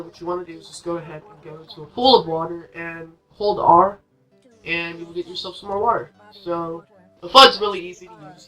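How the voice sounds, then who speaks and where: A woman's voice: lowish voice, pushed and bright, low-quality recording. A woman giving casual, rambling, unscripted commentary as host of a gameplay video. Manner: rambling, informal.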